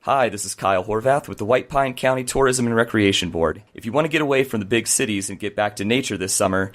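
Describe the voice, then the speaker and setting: A man's voice: on the low side, quick pace. A man reading a scripted radio ad as a tourism spokesman, plainspoken and promotional.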